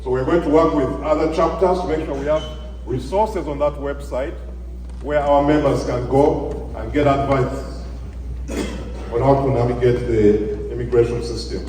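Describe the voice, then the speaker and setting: Man host introducing a team, mellow voice, distant mic in a big echoey hall. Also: medium pitch, fairly even intonation, a little hiss or hum. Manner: plain, measured announcing with fillers. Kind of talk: speech to a gathering.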